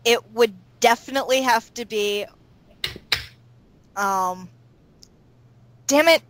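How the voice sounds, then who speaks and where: High-voiced woman, dry close sound. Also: slow pace, no echo. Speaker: voice actor being interviewed. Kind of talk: casual group chat. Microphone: online voice call.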